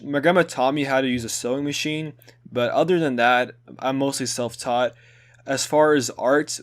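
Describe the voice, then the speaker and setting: Young man narrating his school video. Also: medium pitch. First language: Hungarian. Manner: relaxed, conversational, with filler words. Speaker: student presenting a school video project.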